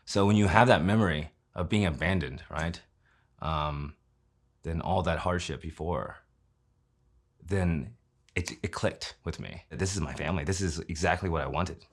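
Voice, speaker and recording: A man's voice: deep voice, normal speed. A man, telling his own story in an interview in a conversational way, often using fillers and tag questions. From Kansas City, Missouri, USA.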